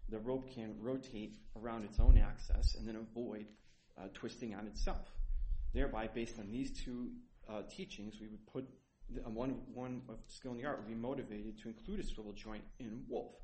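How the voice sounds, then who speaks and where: A man's voice: fairly low pitch, steady tone, moderate pace, warm tone. A male attorney making a methodical oral argument before a judge, often restarting and stumbling over fillers. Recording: roomy echo with faint noise.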